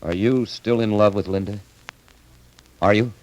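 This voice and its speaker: A man playing a loyal old friend in a vintage radio drama. His voice is low, and he is blunt, earnest and concerned. There is faint noise on the old recording. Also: a little slow, darkish tone, natural voice, poor audio. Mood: concerned, probing. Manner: earnest, probing. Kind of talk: 1930s radio acting.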